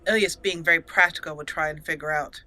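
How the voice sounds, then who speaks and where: A woman's voice: low voice, steady tone, quick pace, bright, forceful tone. A woman hosting a let's play, chatting playfully through her gameplay, laughing often and reading game text aloud. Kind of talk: gameplay commentary.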